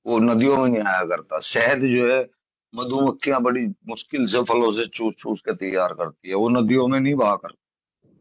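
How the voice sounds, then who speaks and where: A man's voice: medium pitch, moderate pace, dry recording. A middle-aged man argues bluntly and insistently in an informal debate on a phone call. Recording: dull lo-fi phone call recording.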